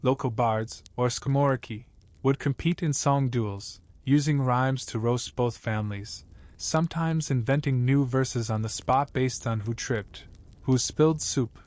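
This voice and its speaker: A man narrating a scripted bedtime story in a measured, wry way. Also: mid-range voice, a little mellow.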